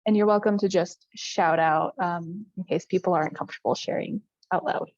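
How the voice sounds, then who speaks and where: A woman in her twenties co-presenting an online workshop, speaking in a deliberate, welcoming way as she asks the audience to introduce themselves. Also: lowish voice, fairly even intonation, darkish tone, no room sound, dull audio. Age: 20s.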